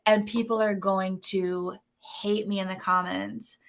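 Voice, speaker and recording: A woman's voice: medium pitch, flat delivery, unhurried, vocal fry. A woman co-hosting a casual whiskey livestream, talking in a candid, earnest and slightly self-conscious way.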